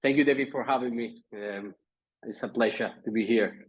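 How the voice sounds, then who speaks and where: Man, Spanish accent, husky voice, slightly muffled call audio, hesitant casual talk. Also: mid-range voice, moderate pace. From Spain, Andalusia. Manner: hesitant, searching for words. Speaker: podcast guest. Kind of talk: casual interview chat. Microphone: remote call.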